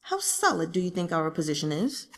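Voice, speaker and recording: A woman's voice: lowish voice, very animated, brisk, fairly bright tone, big-room sound. A woman voicing a self-mocking, burdened young emperor in an audiobook, formal and solemn, with regal phrasing. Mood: bitter, weary. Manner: formal, solemn, speaks in the royal we. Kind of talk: audiobook character dialogue.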